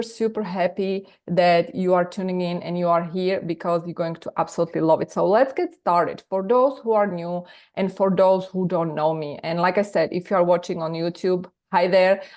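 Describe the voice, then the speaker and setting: A woman's voice: fairly low pitch, darkish tone. A woman from Slovakia with a Slovak accent, a business coach talking energetically in a live online masterclass over a video call, often repeating words for emphasis.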